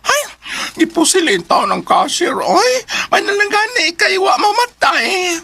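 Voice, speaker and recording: A woman playing an excitable young employee breaking shocking news in a Bisaya radio drama, with theatrical drawn-out exclamations and a Filipino accent. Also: very high voice, music in the background, heavily acted. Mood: tearful shock.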